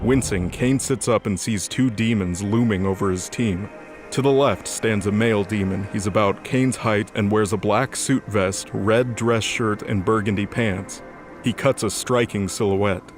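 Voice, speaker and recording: A man's voice: on the low side, measured pace. A man delivering scripted dramatic narration as the narrator of an audio drama. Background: music.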